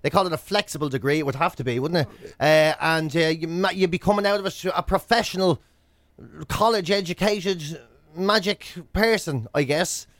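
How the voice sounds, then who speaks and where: Higher-voiced Irish man in comic radio banter. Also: moderate pace, a bit bright, dry recording. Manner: rambling, acts out imagined dialogue. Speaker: radio breakfast show co-host. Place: radio studio. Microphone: close studio microphone.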